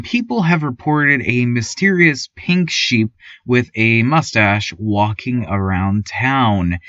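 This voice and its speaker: Awkward small-town radio host, played by a male actor: halting, timid yet oddly cheerful, pitch swooping, dry lo-fi podcast sound. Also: no echo. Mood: hesitant, reporting. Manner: hesitant, stammering, many ums and pauses. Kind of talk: surreal fiction podcast, community radio news monologue. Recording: dull, lo-fi, slightly muffled sound.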